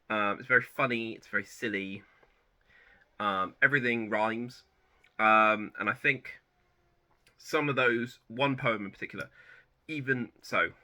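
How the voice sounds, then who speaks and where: Very bright-toned man in his thirties. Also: medium pitch. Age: 30s. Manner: relaxed and rambling, with frequent self-corrections. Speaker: book channel host. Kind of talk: casual personal chat to camera.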